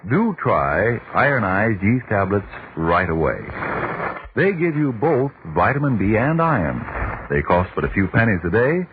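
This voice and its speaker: A 1940s radio announcer, a man with a lowish voice that swings widely as he sells with polished emphasis. The broadcast is narrow and tinny with clear noise. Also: sing-song, a little slow. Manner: polished sales pitch, emphatic. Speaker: radio announcer reading a sponsor's ad.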